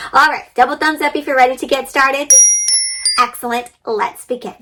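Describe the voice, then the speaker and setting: A woman hosting an interactive read-aloud for children, expressive and encouraging, putting questions and simple instructions straight to her young viewers. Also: sits high, low-quality recording.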